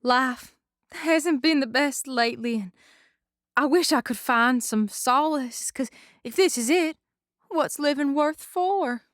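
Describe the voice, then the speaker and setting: A woman's voice: high voice, slow, a bit bright, dry recording, clean studio sound. A woman playing a sorrowful Old West saloon showgirl, speaking in a plaintive, melodramatic way. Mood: despairing.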